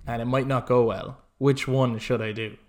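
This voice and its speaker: A man's voice: mid-range voice, steady tone, moderate pace, a little mellow. A man co-hosting a podcast in casual, jokey banter, acting out imagined lines as he makes his points. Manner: jokey, acts out hypothetical quotes. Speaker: podcast co-host. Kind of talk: casual animated banter about games.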